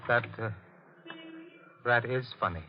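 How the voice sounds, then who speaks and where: An actor playing a guarded, brooding married man who narrates his own dark crime in an old radio thriller, evasive and haunted. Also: medium pitch, takes it slowly, close to an everyday voice. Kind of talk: 1940s radio thriller acting, first-person narration. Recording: muffled old radio recording with music.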